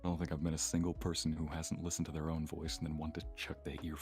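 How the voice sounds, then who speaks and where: A man's voice: a bass voice, completely flat delivery, quick pace, a little mellow, soft background music. A man chatting casually as a guest on an ASMR livestream, playfully acting out little imagined quotes.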